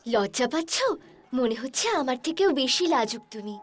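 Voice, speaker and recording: A woman voicing a young, chatty newlywed village wife in a dramatised horror story, girlish and expressive. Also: high-pitched, normal speed, soft background music.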